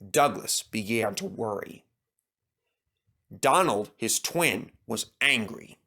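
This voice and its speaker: A man's voice: lowish voice, expressive, takes it slowly, pushed and bright. A man narrating a scripted children's story.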